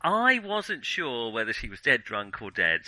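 Male podcast co-host, forceful bright tone, very dry recording, hesitant and self-correcting in casual film chat. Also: mid-range voice, sing-song, unhurried, dry recording. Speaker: podcast co-host discussing films.

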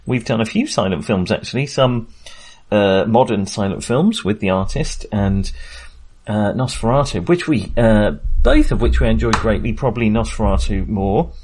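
A man hosting a podcast in a casual discussion style, halting and often searching for words. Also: lowish voice, expressive, a little mellow. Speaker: podcast host.

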